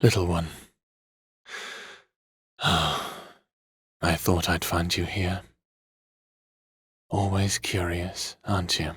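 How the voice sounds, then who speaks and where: A man acts out a brooding lord of the underworld in a fantasy audio drama. He speaks low and very husky, in a gentle, weary, unhurried way, recorded close and dry. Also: level tone, dry recording, heavily acted. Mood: weary, fond.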